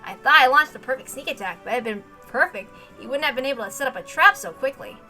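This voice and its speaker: Self-assured young genius fighter a woman acts him, good-humored and casual, high bright voice, for story drama. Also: animated, fast, soft background music, full character voice. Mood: impressed, smug.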